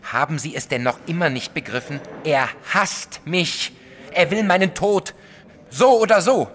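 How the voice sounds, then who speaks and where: A man's voice: fairly high pitch, a little slow, a bit bright, a little hiss or hum. An actor playing a small, self-righteous, jealous male artist in an audio drama, vehement and defensive in manner. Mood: agitated. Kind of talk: German audio drama acting.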